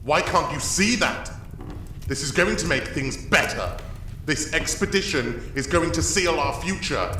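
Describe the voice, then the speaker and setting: An actor playing a proud, bitter, obsessed expedition leader and husband, delivered as grandiose, theatrical first-person audio drama narration. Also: male voice, fairly high pitch, lively intonation, a little slow, bright, forceful tone, a little hiss or hum. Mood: angry, defiant.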